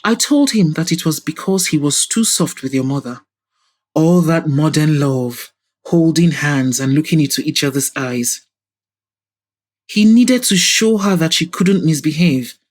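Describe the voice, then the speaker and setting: A woman's voice: low voice, lively intonation, measured pace, darkish tone. Lady doing a arrogant middle aged boss man, pompous and sneering, defensive, audio drama style. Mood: scornful, indignant. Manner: pompous, scornful. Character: arrogant middle-aged businessman, defensive, mocking, self-righteous.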